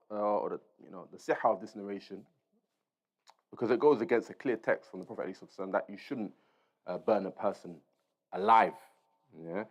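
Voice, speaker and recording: A man teaching an informal Islamic lesson, reading aloud and explaining as he goes, checking in with his listeners. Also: medium pitch. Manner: explanatory, checks in with listeners using short tags. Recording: dull, lo-fi sound.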